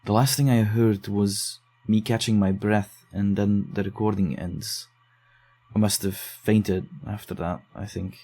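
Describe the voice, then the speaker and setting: A dogged investigator in a psychological horror audio drama. His voice sits low and is very soft-edged and dark. He delivers everything in a subdued, measured, low-key way. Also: fairly even intonation. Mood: shaken, subdued. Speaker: investigator digging through case notes.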